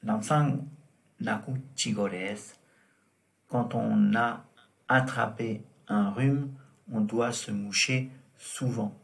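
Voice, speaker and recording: A man teaching French vocabulary, slow and deliberate, repeating each word and example as he explains it. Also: on the low side, steady tone, vocal fry. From Tibet. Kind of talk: vocabulary lesson explained in Tibetan.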